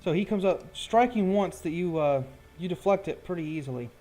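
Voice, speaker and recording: Higher-voiced man running a tabletop game. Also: animated, a little fast, dark tone, faint music behind. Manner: informal, hesitant while checking rules. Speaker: game master. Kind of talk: casual tabletop roleplaying narration.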